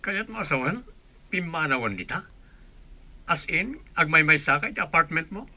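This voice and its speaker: A man plays a gossipy, sassy confidant in a radio drama. His voice is somewhat high and extremely sharp and forceful, prodding with brash teasing. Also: animated, moderate pace.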